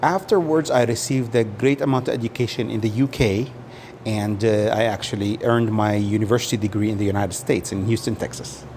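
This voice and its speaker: A man from Saudi Arabia, a native Arabic speaker, answering casually in an interview and often pausing and repeating words as he explains. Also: lowish voice, fairly even intonation, a little mellow, a little hiss or hum. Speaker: interview guest.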